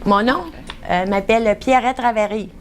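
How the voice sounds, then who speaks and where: A woman from Montreal with a Quebec French accent, a patient answering an examiner haltingly, searching for words and swapping them. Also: fairly high pitch, a little hiss or hum. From Montreal, Canada. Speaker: patient in a clinical interview.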